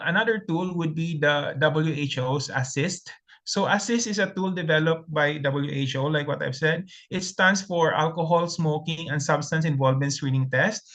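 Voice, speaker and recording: Bright-voiced Filipino man presenting slides. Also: fairly high pitch. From Philippines. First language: Tagalog. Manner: methodical, steady listing with frequent ums and restarts. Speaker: webinar presenter.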